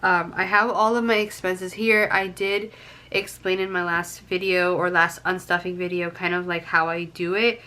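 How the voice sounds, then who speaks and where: A woman's voice: lowish voice, level tone, moderate pace, bright, forceful tone. A young woman with a stuffy nose from a cold, chatting casually to camera and counting cash aloud with frequent ums. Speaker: budgeting vlogger.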